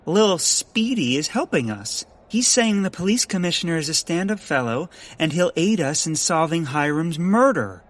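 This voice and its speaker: An earnest, naive scientist sleuth in a comic audio drama. The voice is fairly high and animated, full of eager swings. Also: male voice, moderate pace, few pauses. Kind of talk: comedic audio drama acting.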